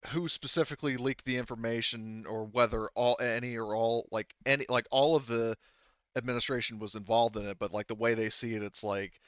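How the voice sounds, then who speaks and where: A man casually running a tabletop game as game master, talking in a halting way and often rephrasing as he explains. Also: medium pitch, steady tone, fairly bright tone, clear, resonant tone, dry recording, highs cut off.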